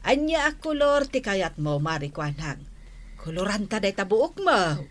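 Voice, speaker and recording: A woman's voice: mid-range voice, very animated, measured pace. A woman playing a grateful, easygoing village hairdresser in a comedy drama. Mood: grateful, agreeable.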